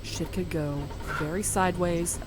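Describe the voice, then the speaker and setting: A woman playing a guarded, earnest tech specialist in a sci-fi audio drama, measured and firm. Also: on the low side, steady tone, noisy background, natural voice. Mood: guarded warning. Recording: music under the voice.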